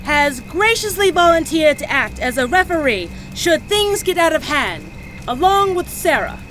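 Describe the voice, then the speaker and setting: A woman's voice: high voice, big pitch swings, normal speed, a bit bright, faint music behind, low-quality recording. A woman playing an older, commanding mage leader who announces formally in an audio drama. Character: older commanding female mage leader addressing her gathered people.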